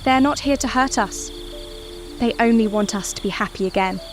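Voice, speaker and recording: A woman playing an earnest, excitable and brave young girl in a dramatized audiobook. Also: fairly high pitch, moderate pace, music in the background, close to an everyday voice. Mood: earnest, reassuring.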